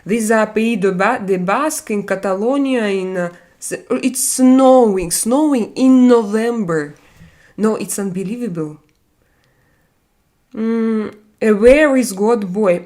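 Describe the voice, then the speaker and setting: Live-stream host, Russian woman with a Russian accent, English learner, halting delivery full of fillers, casual chat from home. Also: mid-range voice, a little mellow. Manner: halting, frequent "uh" fillers while searching for English words.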